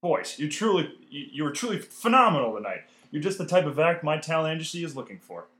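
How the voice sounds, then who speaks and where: A man performs a scheming talent agent in an old radio comedy. He talks like a folksy salesman, in a slightly high voice, always angling for a deal. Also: big pitch swings, fast, fairly bright tone. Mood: smooth dealmaking. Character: scheming, smooth-talking male 1930s talent agent. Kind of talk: old-time radio drama acting.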